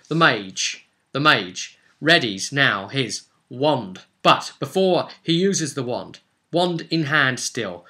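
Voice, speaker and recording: Forceful, bright-voiced man narrating fantasy combat. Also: a little high. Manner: theatrical, with short punchy phrases. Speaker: game master. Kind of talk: dramatic narration of a tabletop role-play.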